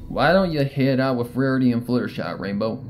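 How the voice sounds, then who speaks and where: A man's voice: mid-range voice, lively intonation, fairly quick, crowd noise, close to an everyday voice. A man voicing a stern, suspicious country pony mare in a story reading, with folksy, plain-spoken delivery. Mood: firm, practical.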